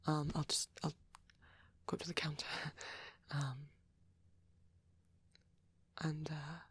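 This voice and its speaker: A man playing a shy, devoted vampire boyfriend in an intimate ASMR roleplay, gentle, hesitant and stammering, with a breathy voice. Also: a little high, completely flat delivery, slow, exaggerated voice. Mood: bashful, tender. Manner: hesitant, stammering, soft and rambling.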